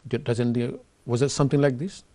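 A man's voice: medium pitch, fairly even intonation, fairly quick, warm tone. A man in his thirties hosting a studio interview, asking earnest, eager questions with many fillers. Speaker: host. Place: TV studio set.

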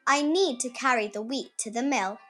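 A woman's voice: a little high, moderate pace, a bit bright, faint music behind, lightly acted. A woman voicing a plucky, hardworking little red hen from a storybook, earnest and determined.

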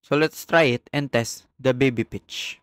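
A man narrating a step-by-step software tutorial as the host. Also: mid-range voice, unhurried, clear, resonant tone, no room sound, studio quality.

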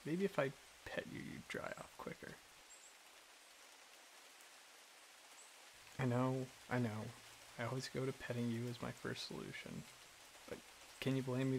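An affectionate, playful male dragon, acted by a man speaks softly and intimately, as in an ASMR roleplay. The voice has a clear fry to it and a caring, reassuring manner. A faint hissy, natural background sits behind it. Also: medium pitch, low-quality recording. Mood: playful, teasing. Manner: soft-spoken, intimate, reassuring. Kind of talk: soft-spoken ASMR roleplay. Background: hiss, crickets and water or rain sounds.

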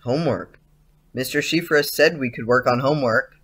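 An actor playing a dreamy, insolent schoolboy with a theatrical, poetic way of talking in an audio play. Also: male voice, medium pitch, a bit bright, close to an everyday voice. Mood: innocently insolent. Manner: slow, theatrical recitation. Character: dreamy, insolent schoolboy reciting eerie old poetry. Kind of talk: audio drama acting, reciting poetry.

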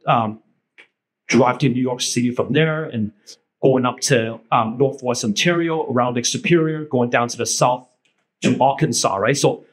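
A man's voice: medium pitch, rough recording. A man whose first language is Cantonese, sharing casually at a panel table, rambling and friendly with frequent "right?" tags, into a handheld mic in a meeting room.

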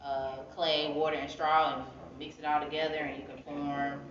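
Low-pitched American woman in an echoey room. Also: little pitch movement, normal speed. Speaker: interview guest, poet and author. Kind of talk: casual chatty interview answers. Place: indoor arts center room, seated. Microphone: phone camera a few metres away. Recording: echoey room, distant muffled phone-camera sound, chatter.

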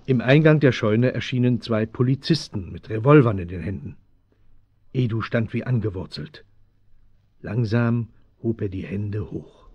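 An actor playing the composed narrator of a German children's adventure radio play, reading scripted narration. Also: male voice, on the low side, animated, unhurried, husky, dry recording. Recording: dull, dry 1970s audio drama recording.

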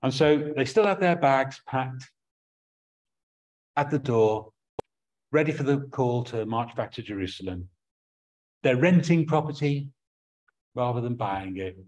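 A man's voice: medium pitch, a little mellow. Man, English accent, middle-aged, sermon, earnest, repeats words when restarting, webcam at home. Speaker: preacher.

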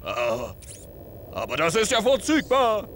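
High-pitched, bumbling old company boss. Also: male voice, huge pitch swings, unhurried, fairly bright tone, heavily acted. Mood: jovial, befuddled. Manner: pompous, bumbling, mangles long words. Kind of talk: cartoonish video game dubbing. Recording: noisy game audio, ambience behind.

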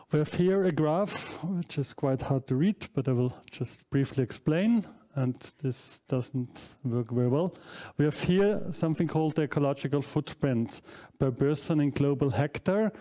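A man's voice: fairly high pitch, mellow. A man in his forties, speaking English as a second language, gives a university lecture on slides in a large hall through a headset mic, with frequent fillers and self-corrections. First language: not English. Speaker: professor giving an inaugural lecture. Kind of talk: academic lecture.

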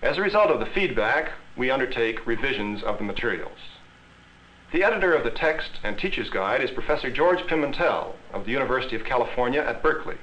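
A man's voice: mid-range voice, animated, fast talker, a bit bright. An American man in his thirties presenting an educational film in a scripted narrating style. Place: classroom laboratory film set. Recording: muffled, hissy 1960s film soundtrack.

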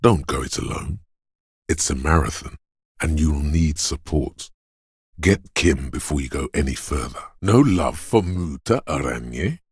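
Very deep, husky inner body voice. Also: male voice, expressive, a little slow, no room sound, clean studio sound, heavily acted. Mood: stern, weighty. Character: Endurance, brooding inner voice of a weary detective's body. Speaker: voice actor. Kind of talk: scripted game narration in second person.

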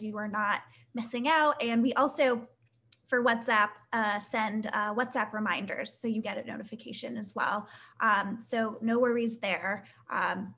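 Upbeat young woman host, slightly high voice, chatty with fillers, laptop mic on a video call. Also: fairly bright tone. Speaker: webinar host reading audience questions. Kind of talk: casual live Q&A webinar. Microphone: laptop webcam mic on a video call.

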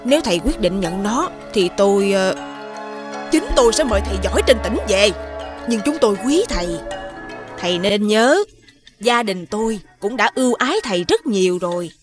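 A woman voicing a haughty, hostile village matron in an animated folktale, with an accusing, imperious way of talking. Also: mid-range voice, moderate pace, music in the background, close to an everyday voice. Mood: haughty, demanding.

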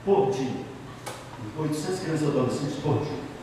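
A man's voice: mid-range voice, very animated, normal speed, background noise. A middle-aged man with a Bahian Portuguese accent, a priest telling his life story to a group in an office meeting room on a handheld mic, emphatic, repeating key phrases. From Bahia, Brazil. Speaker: priest giving a talk. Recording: echoey room from a far camera.

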